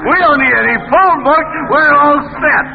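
A man's voice: very high-pitched, moderate pace, gravelly. An actor playing a genial, restless, hard-drinking husband and father home from war, in a 1940s radio drama style. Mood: tipsy, exuberant. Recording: tinny old radio broadcast, faint music.

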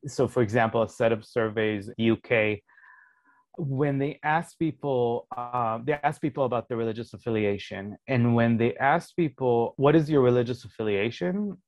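A man, a Hebrew speaker, answering interview questions as a sociologist in a measured, explanatory way, thinking aloud as he goes. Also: mid-range voice, a little mellow, no echo. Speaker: sociologist guest.

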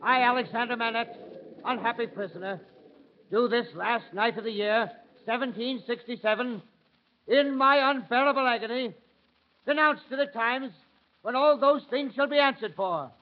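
Despairing jailed physician, very high voice, impassioned declamation, vintage radio drama, dull faintly noisy recording. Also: male voice, normal speed. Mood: anguished denunciation. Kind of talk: 1930s-40s radio drama acting. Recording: muffled old radio recording, faint noise.

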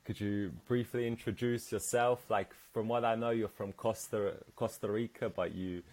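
A man's voice: fairly low pitch, steady tone, moderate pace, a little mellow. A man hosting a podcast, asking questions in an easygoing, hesitant way with many fillers. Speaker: podcast host. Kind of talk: casual interview.

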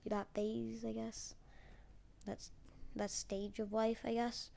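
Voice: mid-range voice, little pitch movement, slow, gravelly, warm tone. A youngster who sounds like a child or young teen, rambling casually and a bit hesitantly as host of an amateur solo podcast. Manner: hesitant, self-deprecating. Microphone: amateur mic, trying blanket as sound booth.

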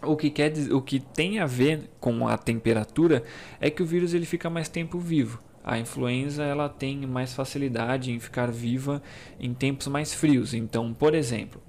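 A man's voice: medium pitch, normal speed, a little mellow, a little hiss or hum. Chatty Brazilian man explaining to viewers. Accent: Brazilian Portuguese. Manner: chatty, informal, explains with fillers and asides. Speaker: YouTube channel host. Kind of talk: casual explainer video.

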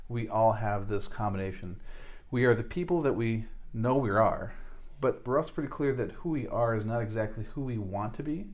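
A man in his forties talks as an interview guest. He is earnest and often halting, restarting his sentences and filling pauses as he works toward his point. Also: lowish voice, darkish tone. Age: 40s. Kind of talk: casual interview talk.

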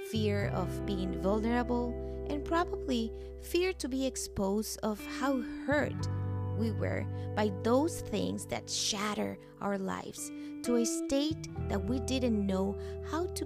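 Woman podcast host, slow, gravelly, higher voice, guitar music behind. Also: animated. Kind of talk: scripted podcast intro narration. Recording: intro spoken over guitar music.

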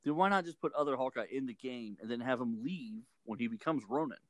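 A man's voice: medium pitch, dry recording. Dry-voiced Texan man, joking and argumentative. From Texas. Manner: joking, argumentative, quick to correct. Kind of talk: casual chat.

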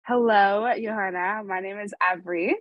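A woman's voice: medium pitch, steady tone, measured pace, dry recording, dull audio. A young woman, a student interviewing a guest in a casual, upbeat way.

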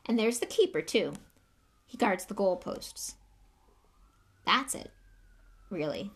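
A tired schoolboy explaining things patiently and without much enthusiasm, as a woman reader voices him. His voice rises and falls quite a bit, with a gravelly edge to it. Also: medium pitch, lively intonation, takes it slowly, heavily acted. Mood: resigned. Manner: resigned, matter-of-fact explaining. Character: weary reluctant boy, patient explainer. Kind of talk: audiobook character voice.

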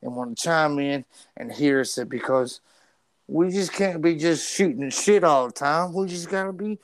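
A man's voice: on the high side, moderate pace, a little hiss or hum. A man with a stutter co-hosting a casual podcast, talking animatedly and profanely, tripping over and repeating his words. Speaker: podcast co-host.

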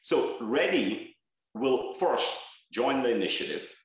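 A man's voice: medium pitch, lively intonation, takes it slowly, heavy reverb. A man from Bangladesh, an energy research head, giving earnest interview answers and listing points with stress on key words.

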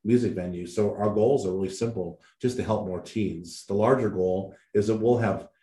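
A man with a deep, warm voice speaks earnestly and steadily as an interview guest. His voice carries a roomy echo. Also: normal speed. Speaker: teen center staff guest. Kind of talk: interview answers.

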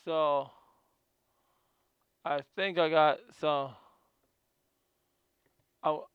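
A man's voice: a little high, steady tone, extremely slow, clean tone, no room sound, narrow, tinny audio. A man hosting a casual video, reading from notes about a guest and often stumbling and repeating words.

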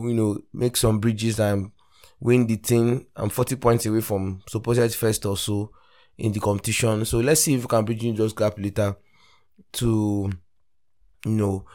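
A Nigerian man hosting a casual solo fantasy football podcast, rambling with lots of fillers and restarts, his voice still deep from the morning. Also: a little mellow. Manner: rambling, many fillers and self-corrections. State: morning voice, deeper than usual. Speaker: podcast host.

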